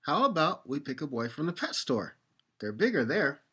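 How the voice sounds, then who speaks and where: A man playing a kindly, easygoing father in an audio drama, patient and gently questioning. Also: fairly high pitch, big pitch swings, normal speed, slightly rough, full character voice.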